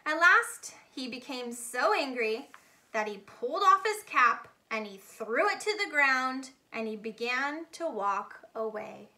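A high-voiced woman reads a children's story aloud. Her delivery is slow and expressive, with a bright tone aimed at young listeners. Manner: animated, deliberate, addressing young listeners. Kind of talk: expressive picture-book read-aloud.

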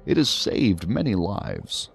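An actor playing an earnest, hesitant psychiatrist who explains things in wordy, precise clinical terms in an audio drama. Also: male voice, deep voice, wildly swooping pitch, slow, creaky, full character voice. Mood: lecturing, earnest. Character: talkative, earnest, hesitant male psychiatrist answering investigators. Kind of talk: science fiction audio drama acting.

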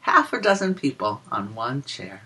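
A woman reading a picture book aloud to young children in a sing-song, deliberate way, explaining and counting as she goes. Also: rumbling low, sing-song, pushed and bright, husky, rough recording. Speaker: children's story reader and teacher.

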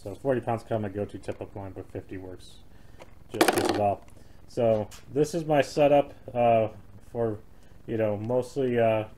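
A man hosting a fishing channel, casually walking through his gear with plenty of fillers while he handles it in a garage. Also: on the low side. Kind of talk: casual show-and-tell of gear. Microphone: camera held close, filming his hands. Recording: noisy home video with crinkling packaging.

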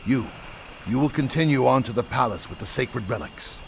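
A man voicing a stern, exacting old martial arts master in an animated game, with clipped, dry, commanding delivery. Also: mid-range voice, normal speed, vocal fry, noisy background. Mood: grave.

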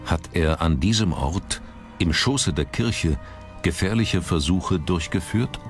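A man reading scripted documentary narration in a measured, dramatic manner. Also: deep voice, expressive. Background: background music.

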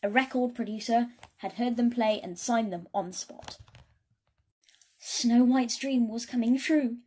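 Slow, high-voiced woman storyteller. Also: mellow. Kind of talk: expressive children's story read-aloud.

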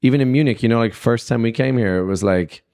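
A man's voice: mid-range voice, fast. A young man, a musician guest, talking casually in an interview into a handheld mic in a festival backstage lounge, loose and rambling with lots of fillers. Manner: relaxed, rambling, many "like" and "you know" fillers.

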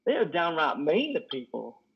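A man acts out a lonely, wounded trucker in a stage drama, higher-voiced and husky. He talks in halting, folksy, simple sentences, weighed down by guilt, over a muffled video call. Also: wildly swooping pitch, heavily acted. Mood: troubled, intense. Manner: halting, stammering, folksy ungrammatical speech. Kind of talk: dramatic play reading. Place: indoor room on a video call. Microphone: headset.

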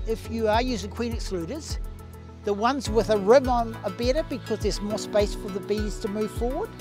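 High-voiced older man explaining outdoors. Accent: New Zealand. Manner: explanatory, with restarts and hand gestures. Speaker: bee scientist. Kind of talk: outdoor demonstration talk. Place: garden outdoors beside beehives.

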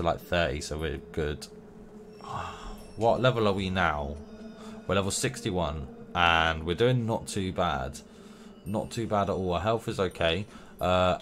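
Give me a low-pitched young man rambling to himself and his viewers as he plays, stuffy from a runny nose. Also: a bit bright. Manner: rambling, playful, talks to himself and the game. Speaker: gameplay streamer. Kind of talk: casual gameplay commentary. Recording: noisy game sound behind voice.

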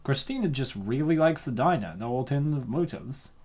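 A man's voice: medium pitch, lively intonation, brisk, a little mellow. Man making playful, slangy commentary. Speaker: streamer reacting to comic dubs. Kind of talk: casual joking commentary.

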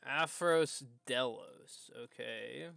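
A man livestreaming a video game, casually thinking aloud in a halting way as he plays. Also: medium pitch, gravelly, a bit bright, no room sound. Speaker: game streamer.

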